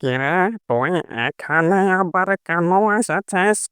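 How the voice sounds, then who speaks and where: A man putting on a slow menacing witch. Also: on the high side, background noise. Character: angry female witch spirit, threatening. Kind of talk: cartoon voice acting.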